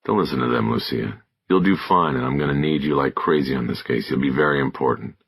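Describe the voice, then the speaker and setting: A man's voice: a bass voice, quick pace, vocal fry, breathy edge, no room sound, exaggerated voice. A man voicing a seasoned, plain-spoken police detective, a firm and encouraging mentor, in audiobook dialogue. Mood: firm, reassuring.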